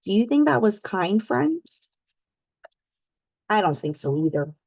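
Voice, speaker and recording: Give me a woman reading a picture book aloud to children in a dry, close recording. Her pitch sits in the middle for a woman. She stretches key words for emphasis and addresses her young listeners like a teacher, with questions and prompts. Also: vocal fry, dry recording. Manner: animated, exaggerated storytelling emphasis with drawn-out words. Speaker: read-aloud host for children.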